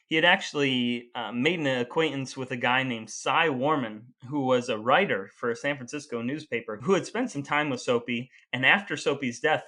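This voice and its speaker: A man co-hosting a podcast, telling a history story in a relaxed, conversational way with dry amused asides. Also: medium pitch. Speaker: podcast co-host. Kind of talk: casual storytelling chat.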